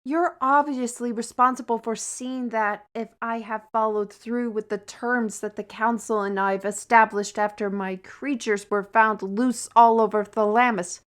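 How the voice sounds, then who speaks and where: An actress playing a weary, irritable fantasy artisan in an audio roleplay, speaking in an exasperated, deliberate way with sighs. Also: female voice, on the high side, fairly even intonation, close to an everyday voice. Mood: weary, grudging. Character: weary, irritable female fantasy artisan under council watch.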